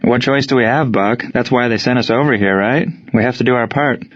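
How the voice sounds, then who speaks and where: A man's voice: medium pitch, a little fast, no echo, muffled recording, natural voice. A man voicing a sober, shaken army baseball team leader in an audiobook. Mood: resigned.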